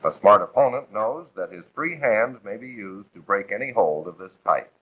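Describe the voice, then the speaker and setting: A man's voice: lowish voice, lively intonation, moderate pace, husky. An American man narrating a 1940s instructional film from a script in a formal, authoritative, instructive manner. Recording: muffled, phone-like vintage film soundtrack.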